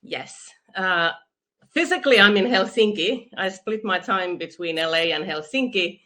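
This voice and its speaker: A woman's voice: medium pitch, expressive, normal speed, pushed and bright. A woman from Finland with a Finnish accent, talking enthusiastically as an interview guest over a video call, with frequent restarts. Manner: enthusiastic, laughs often, restarts words. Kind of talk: conversational interview.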